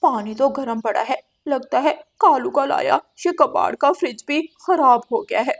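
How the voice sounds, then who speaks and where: A woman's voice: sits high, normal speed, creaky. A woman voicing a long-suffering crow wife and mother in a moral-story cartoon, plaintive and given to sarcasm. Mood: resigned.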